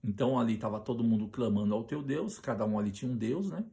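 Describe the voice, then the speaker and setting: A Brazilian man preaching on a Bible passage, often restarting his sentences and slowing down to read scripture aloud with emphasis. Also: medium pitch, gravelly. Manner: hesitant with many restarts, reads scripture slowly and loudly.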